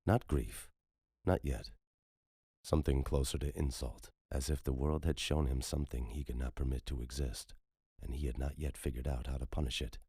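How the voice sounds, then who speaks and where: A man's voice: extremely low pitch, steady tone, normal speed, mellow, husky, no echo, studio quality. A man narrating scripted horror fiction as a storyteller.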